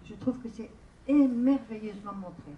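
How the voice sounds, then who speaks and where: A woman from Algeria in the audience giving earnest, measured praise of the film. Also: on the high side, dull audio. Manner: earnest, measured, repeats words. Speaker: audience member. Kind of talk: spoken praise in a Q&A. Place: large cinema hall. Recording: distant voice with faint room noise.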